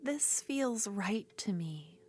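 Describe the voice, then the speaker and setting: A woman playing an obsessive, unhinged female duelist rival in an ASMR fantasy roleplay, speaking intimately and often slipping toward a breathy whisper. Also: medium pitch, expressive, takes it slowly, darkish tone. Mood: tender, possessive.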